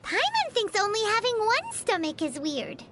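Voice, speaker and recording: A woman voicing a tiny, perky, childlike female companion with a squeaky voice in a video game, music behind her. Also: huge pitch swings, normal speed, a bit bright, a little hiss or hum, exaggerated voice. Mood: chatty, cheerful. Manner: refers to self in third person, exclamatory. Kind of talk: video game character dialogue.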